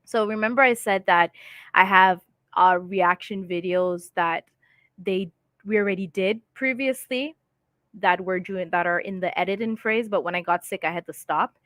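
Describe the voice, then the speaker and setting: A woman's voice: mid-range voice, normal speed, a bit bright, no room sound. A woman hosting a casual live stream from home, chatting and reading viewer comments aloud with frequent laughs. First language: English.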